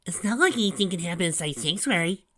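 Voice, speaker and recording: A man's voice: high voice, expressive, fast talker, fairly bright tone, voice pushed up. A man voicing an eager, teasing young boy fox sidekick in an amateur story reading. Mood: playful, excitable.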